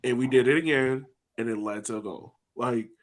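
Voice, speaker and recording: A man hosting a soccer podcast, speaking loosely with lots of "like" and quick restarts. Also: medium pitch. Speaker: podcast host. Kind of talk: casual soccer podcast chat.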